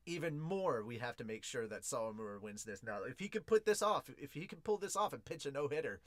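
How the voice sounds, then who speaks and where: A man hosting a podcast in an excitable, informal, slangy way, chatting casually as he reviews and reads out comments. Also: medium pitch, no room sound.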